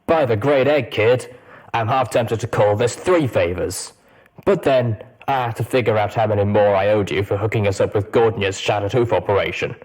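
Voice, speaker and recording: A man's voice: lowish voice, moderate pace, darkish tone, lightly acted, rough recording. A man voicing a brash, grumbling female griffin mercenary in an audiobook, exclaiming as he goes. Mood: annoyed, relieved. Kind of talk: dramatic audiobook character reading.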